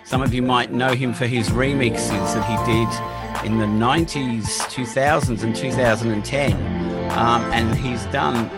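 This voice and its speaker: Slow, hesitant male podcast host. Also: medium pitch, fairly even intonation, loud music behind the voice. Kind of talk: casual interview.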